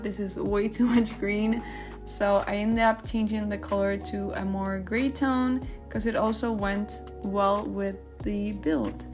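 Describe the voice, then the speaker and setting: A woman whose first language is Spanish, giving casual, chatty gaming commentary in an informal way, sometimes searching for her English words. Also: mid-range voice. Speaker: gaming video creator. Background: background music.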